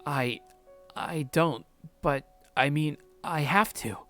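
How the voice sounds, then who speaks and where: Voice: mid-range voice, slow, a bit bright, faint music behind. A performer voicing a meek young man from a visual novel, hesitant and stammering. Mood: anxious, hesitant.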